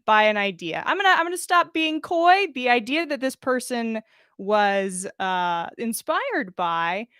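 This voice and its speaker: A woman's voice: a little high, animated, measured pace, fairly bright tone, smooth, no room sound. A woman hosting a podcast livestream, informal and giggly, chatting and reading fan fiction aloud close to the mic. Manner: giggly, informal, swears casually. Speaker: podcast host. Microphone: close mic with pop filter.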